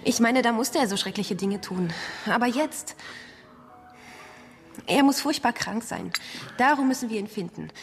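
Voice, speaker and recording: A woman's voice: on the high side, animated, unhurried, vocal fry, long pauses. A woman playing a polite, genteel character in postwar Germany, speaking formally and courteously in a radio drama. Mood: troubled. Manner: courteous, formal small talk.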